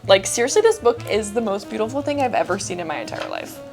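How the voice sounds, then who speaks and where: A woman's voice: mid-range voice, sing-song, quick pace, music in the background. A woman chatting casually to camera as a book reviewer, with an emphatic, gushing, giggly manner. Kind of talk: casual chat to camera about books.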